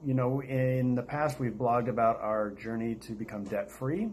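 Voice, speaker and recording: A man's voice: medium pitch, normal speed. An American man chatting casually to viewers as the host of a vlog. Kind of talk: casual chat to camera. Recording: steady noticeable background noise.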